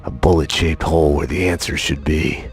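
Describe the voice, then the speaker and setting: An actor playing a haunted, world-weary middle-aged detective, narrating in a brooding, deadpan noir style with a gravelly voice. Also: male voice, very deep voice. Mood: bleak, haunted. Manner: brooding, deliberate, deadpan delivery. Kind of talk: scripted film-noir narration. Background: music.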